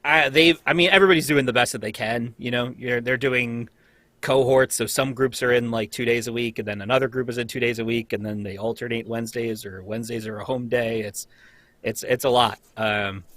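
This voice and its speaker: A man's voice: mid-range voice, steady tone, fairly quick, a bit bright, dry recording. An American man who teaches college, chatting casually in a group conversation.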